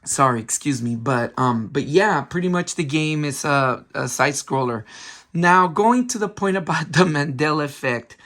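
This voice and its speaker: A man with a hoarse voice, still recovering from a cold, hosting a casual game review with lots of ums and restarts. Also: fairly high pitch, expressive, normal speed, fairly bright tone. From San Francisco Bay Area. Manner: chatty, many ums, restarts himself. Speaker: retro game collector channel host.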